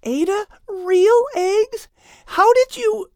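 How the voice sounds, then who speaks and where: Adoring young woman, falsetto-high, gushing, excitable, drawn-out words, storybook. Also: no room sound, clean studio sound, exaggerated voice. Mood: delighted.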